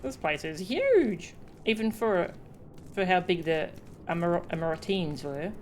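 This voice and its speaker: A woman's voice: lowish voice, unhurried, slight background noise. A woman streaming a game with casual, exclamatory reactions, sometimes reading dialogue aloud, with music behind her. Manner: expressive, exclamatory.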